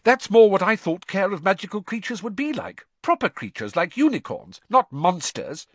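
A man's voice: high-pitched, big pitch swings, normal speed, no room sound, pitched above the performer's own voice. A man voicing a cool, haughty teenage girl in an audiobook, talking in a cold, clipped way.

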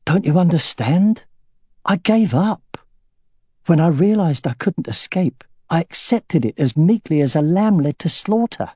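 A man's voice: mid-range voice, big pitch swings, a little mellow, husky, no echo, studio quality, pitched above the performer's own voice. A man doing an anguished young husband. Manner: halting, strained.

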